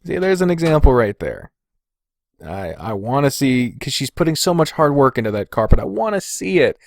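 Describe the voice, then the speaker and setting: A man's voice: mid-range voice, very animated, moderate pace, no echo. A man hosting a podcast, chatting informally and swearing freely as he reads and reacts to comments. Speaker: podcast host. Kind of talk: casual chat, reading and reacting to viewer comments.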